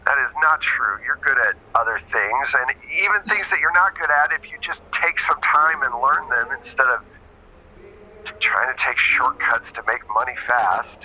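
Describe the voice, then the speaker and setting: A hurt girlfriend played by a man pleads and scolds in a tabletop roleplay. The voice is piercingly bright and swings widely in pitch. The sound is dulled, like a phone, with noise behind it. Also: animated, moderate pace. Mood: reproachful. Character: hurt, reproachful girlfriend of a jailed criminal. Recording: muffled, noisy phone-like sound.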